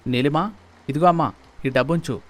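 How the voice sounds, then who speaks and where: A man's voice: on the high side, normal speed, lightly acted. A man acting a worried, considerate family man in a moral story, speaking in an earnest, insistent way. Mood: earnest, persuasive. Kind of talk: dramatized moral story dialogue.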